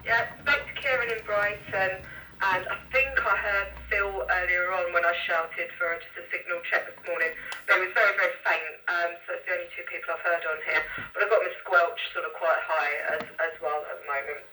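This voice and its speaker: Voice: medium pitch, steady tone, brisk, bright, forceful tone. A person with a southern English accent chatting casually as an operator over a CB radio. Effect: CB radio transmission. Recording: tinny CB radio, echoey, distant, noisy. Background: radio noise.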